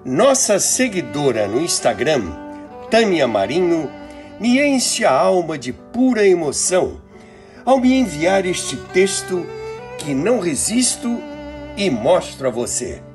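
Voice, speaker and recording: A Brazilian man hosting a history show, reading scripted narration in a deliberate, rhetorical way with dramatic phrasing. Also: a little high, very animated, a little mellow. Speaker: host of a radio history show. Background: music.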